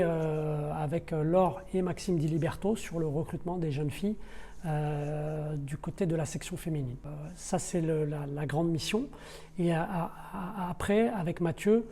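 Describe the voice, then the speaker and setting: Mellow middle-aged man, halting delivery. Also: on the high side. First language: not French. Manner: hesitant, searching for words with repeated words. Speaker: deputy sporting director of a football club. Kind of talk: podcast interview, spontaneous explanation.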